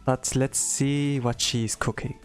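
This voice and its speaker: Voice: medium pitch, level tone, slow pace. Casual streamer voice over music. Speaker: streamer reading a game story aloud. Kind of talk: livestream readalong and reaction, casual. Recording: background music under the voice.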